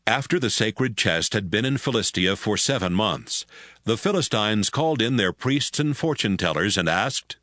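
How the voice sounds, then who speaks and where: A man's voice: lowish voice, animated, normal speed, a bit bright, dry recording, studio quality. A man narrating a dramatized audiobook as a scripted storyteller.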